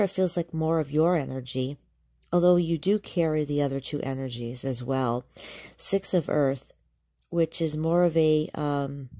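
A woman doing a tarot reading as a medium, talking softly with many pauses and restarts, a little congested and nasal. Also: low voice, no echo, clean studio sound. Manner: soft, searching, with many ums and restarts. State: congested, slightly nasal from allergies. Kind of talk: spontaneous tarot reading to camera.

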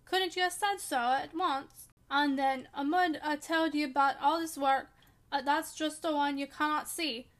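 A woman voicing a grumbling, pious old male servant with a put-on Yorkshire dialect, sneering and scolding in a slow drawl. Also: sits high, steady tone, close to an everyday voice. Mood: mocking.

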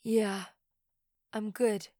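Troubled young girl for audio drama: weary, vulnerable, halting, unhurried delivery. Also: mid-range voice, level tone, dark tone, dry recording, studio quality. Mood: subdued. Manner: slow, hesitant, halting.

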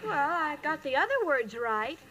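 A woman's voice: high-pitched, animated, moderate pace. An actress playing a naive, lovestruck, dim-witted young woman in a 1940s radio comedy, earnest and literal, on an old recording. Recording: very noisy vintage radio recording.

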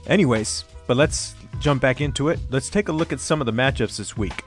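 A hoarse man casually hosting a fantasy sports podcast, rambling with lots of fillers. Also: medium pitch, a little fast, soft background music. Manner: loose, rambling, many fillers. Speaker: podcast host, league commissioner. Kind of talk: casual solo podcast talk.

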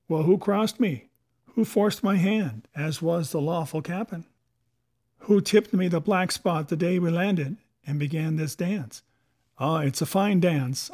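A man's voice: a little high, a little mellow. A man voicing a cunning, defiant pirate captain in a storybook, with sarcastic questions and rustic sailor's talk. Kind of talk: dramatic audiobook character voice.

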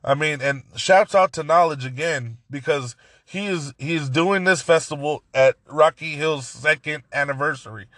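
A man hosting a casual podcast, his voice hoarse and raspy from yelling, talking excitedly and rambling with many restarts. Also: on the high side, normal speed, no echo. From Central California. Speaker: podcast host. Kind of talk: casual solo podcast talk.

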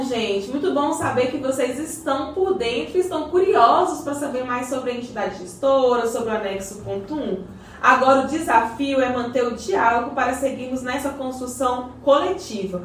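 A woman's voice: high voice, normal speed, natural voice. A woman playing an encouraging, well-informed community guide in a scripted radio soap, explaining things didactically. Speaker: character explaining community projects. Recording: very echoey, distant mic, faint noise.

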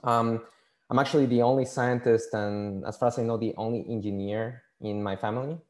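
A man from Mexico City, a native Spanish speaker with a Mexican accent, answering interview questions casually and haltingly, often repeating words. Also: medium pitch, fairly even intonation, darkish tone. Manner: halting, repeats words, many "you know" fillers. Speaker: podcast guest, scientist and engineer.

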